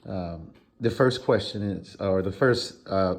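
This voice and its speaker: Low-voiced, hesitant male interviewer. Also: unhurried, creaky. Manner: hesitant, many fillers and restarts. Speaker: interviewer, student.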